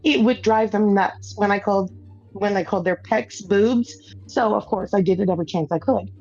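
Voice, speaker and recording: A woman's voice: medium pitch, moderate pace, darkish tone, soft background music. A woman hosting a gaming stream, chatting casually and telling a rambling story, laughing often and restarting her sentences. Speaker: streamer and show host. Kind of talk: casual chat while gaming. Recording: dull, dry lo-fi sound.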